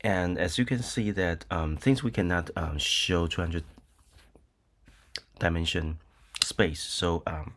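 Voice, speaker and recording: Brief: middle-aged Taiwanese male professor, low voice, unhurried, filler-heavy lecturing. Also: fairly bright tone. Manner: hesitant, many ums and uhs, frequent "okay?" checks. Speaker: university lecturer. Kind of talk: recorded lecture with screen demo.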